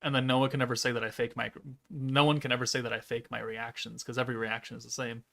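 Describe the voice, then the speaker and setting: A man in his thirties streaming a game from home, chatting casually and reading chat aloud in a monotone, deadpan way. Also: mid-range voice, fast, creaky. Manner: monotone, laid-back, deadpan. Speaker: gaming streamer.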